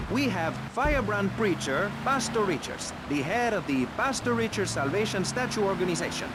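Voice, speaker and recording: An actor playing a flippant, excitable radio discussion host who moderates in a theatrical, rambling, mock-polite way. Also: male voice, high voice, very noisy. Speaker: talk show host and moderator. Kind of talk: satirical public radio hosting.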